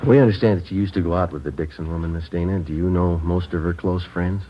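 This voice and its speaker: A man's voice: low-pitched, fairly even intonation, fast, a little hiss or hum, natural voice. An actor playing a terse male police sergeant who questions witnesses and narrates the case in a 1950s radio crime drama. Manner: clipped, matter-of-fact police questioning. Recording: dull old radio broadcast with music.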